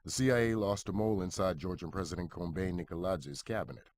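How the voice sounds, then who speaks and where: A man's voice: low voice, quick pace, darkish tone, slight background noise, close to an everyday voice. A man playing a businesslike intelligence commander, giving a briefing with authority in a video game cutscene. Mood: firm, businesslike.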